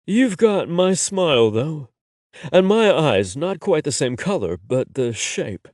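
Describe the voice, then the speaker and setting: A man's voice: a little high, sing-song, takes it slowly, darkish tone, dry recording, clean studio sound, voice pushed up. A man putting on a wry young Londoner. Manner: wry, friendly. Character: young British man, cheeky, easygoing.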